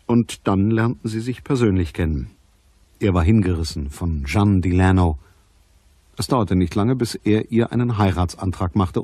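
A man's voice: lowish voice, warm tone, husky, dry recording. An actor playing a sceptical detective who narrates his case in a dry, composed way for a crime radio drama. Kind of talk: scripted first-person crime narration.

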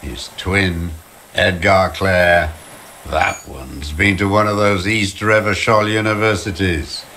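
Slow, low-voiced bitter old communist. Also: male voice, fairly even intonation, sharp tone, a little hiss or hum, exaggerated voice. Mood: bitter disdain. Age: older. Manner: embittered, ranting, slow deliberate delivery. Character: bitter old male communist deserter, disdainful and weary. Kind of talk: video game voice acting. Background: music.

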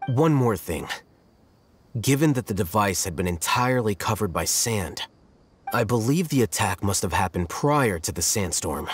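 Man playing a low-voiced, detached scholar. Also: animated, a little hiss or hum, natural voice. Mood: analytical. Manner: measured, detached, precise. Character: cool analytical young male scholar. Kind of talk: video game voice acting.

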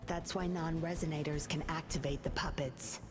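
An actress voicing a composed, secretive young woman puppeteer in a game, speaking in a hushed, commanding way. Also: deep voice, fairly even intonation, normal speed, natural voice. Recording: game audio with music behind.

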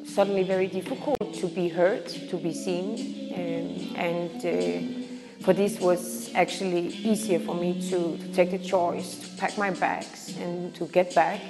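A young woman with a Danish accent speaks slowly and reflectively, often pausing to find her words. Her medium-pitched voice has a gravelly fry at the ends of phrases. A long reverb hangs on her voice, and music plays clearly underneath. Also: fairly even intonation. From Denmark. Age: young adult. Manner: halting, searching for words. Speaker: circus artist. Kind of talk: reflective personal interview.

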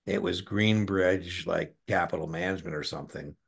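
A middle-aged American man with a gravelly voice tutoring one-on-one over a video call, informal, encouraging and given to tangents. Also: sits low, normal speed. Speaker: exam tutor. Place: indoor room on a video call.

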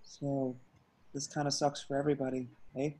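A man reading the part of a hapless, earnest young slacker hero at an amateur table read, halting and excitable. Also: medium pitch, close to an everyday voice, low-quality recording. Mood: resigned. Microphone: video call.

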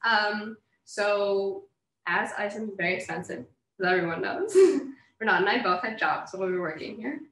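A woman's voice: mid-range voice, lively intonation, moderate pace, a bit bright. A young woman from Canada, a co-founder giving a casual, chatty talk to students. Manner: chatty, laughs often. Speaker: guest speaker, co-founder. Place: university classroom. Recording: echoey classroom, mic from afar.

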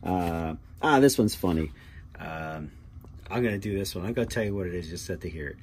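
Low-voiced man, mellow, thinking aloud. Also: expressive, brisk. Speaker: hobbyist demonstrating a robot. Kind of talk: casual how-to demonstration. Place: small cluttered workshop indoors. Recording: noticeable background noise with clicking keys.

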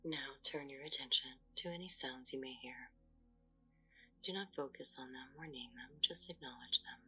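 Deep-voiced whispering woman meditation guide. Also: soft background music. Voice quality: soft whispery delivery. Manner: slow, soothing, gentle instructions.

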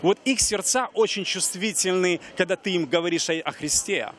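A man's voice: sits high, moderate pace, fairly bright tone. A man in his forties hosting an outdoor street interview, talking earnestly with many fillers and restarts into a handheld mic. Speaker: TV host. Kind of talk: street interview, ministry talk. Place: busy pedestrian street outdoors.

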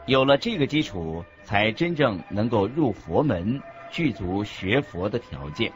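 Expressive man narrating, dull recording with music. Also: lowish voice, unhurried. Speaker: narrator of a Buddhist radio lecture. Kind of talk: scripted dramatized religious narration. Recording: dull lo-fi audio with background music.

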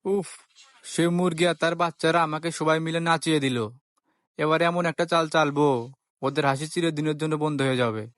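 A man's voice: on the high side, steady tone, normal speed, a bit bright, no echo, studio quality, natural voice. Man voicing a sly, theatrical cartoon fox. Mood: sulky, vengeful. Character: sly, scheming male fox, sweet-talking but cowardly. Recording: music behind the voice.

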